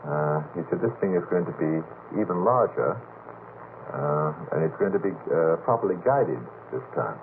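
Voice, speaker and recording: A man's voice: sits low, animated, moderate pace. A middle-aged man on a late-night radio panel, putting questions in a hesitant, courteous and wordy way. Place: radio station studio. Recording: noisy narrowband vintage radio tape.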